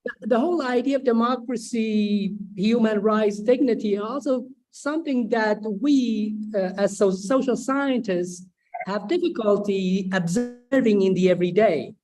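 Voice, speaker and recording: A woman from Sweden giving spontaneous academic remarks, deliberate and emphatic, repeating phrases for stress. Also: medium pitch, dark tone, rough recording. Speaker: symposium host, social scientist. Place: online video symposium.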